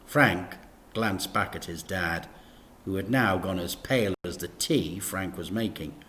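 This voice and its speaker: A man reading a children's story aloud in an expressive, teacherly narration. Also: low voice, measured pace.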